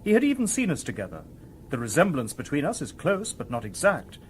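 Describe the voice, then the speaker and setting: An actor playing a polite, logical humanlike robot in a radio drama, speaking formally and precisely. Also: male voice, a little high, wildly swooping pitch, fairly quick, slight background noise. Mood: calm, reasoning.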